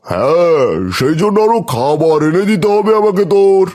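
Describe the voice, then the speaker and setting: A man's voice: sits high, lively intonation, normal speed, a little mellow. A man voicing a self-serving, gluttonous tiger king with a pompous manner in a children's cartoon. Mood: demanding. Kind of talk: children's fairy-tale cartoon acting. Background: music.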